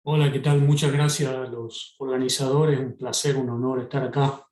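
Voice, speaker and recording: A man's voice: medium pitch, little pitch movement, moderate pace, darkish tone. A middle-aged man with an Argentine accent, a professor speaking politely and composed on a video call, with a touch of humor. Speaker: professor, panelist. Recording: echoey room.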